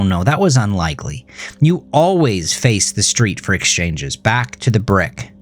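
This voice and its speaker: A man reading his own horror story as a dramatic, suspenseful second-person narration with drawn-out emphasis. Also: low-pitched. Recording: music behind the voice. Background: music.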